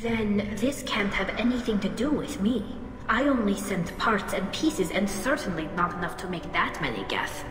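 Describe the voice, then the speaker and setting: A woman's voice: medium pitch, expressive, moderate pace, a bit bright, lightly acted. An actress playing an earnest, principled young female alien engineer in a video game, speaking precisely. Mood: guarded, defiant. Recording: echoey hall reverb, music behind.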